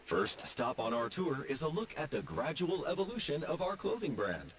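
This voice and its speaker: Robot tour guide, polite announcer, raised pitch, extremely swooping intonation, video game, loud background noise. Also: male voice, big pitch swings, normal speed. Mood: courteous, welcoming. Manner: scripted, polite announcer delivery. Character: mechanical robot tour guide, courteous, formal.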